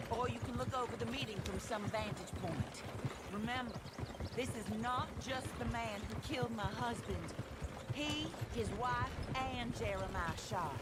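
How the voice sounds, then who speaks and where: A woman playing a vengeful, poised Old West widow in a video game, speaking in a genteel, deliberate way. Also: a little high, very noisy. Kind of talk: video game cutscene acting.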